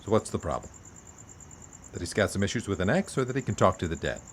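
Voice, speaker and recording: Low, animated, wry buddy. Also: male voice, fast. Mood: wry, teasing. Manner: teasing, casual. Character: laid-back, wry friend of a policewoman. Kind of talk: naturalistic audio drama acting. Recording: faint outdoor noise with crickets.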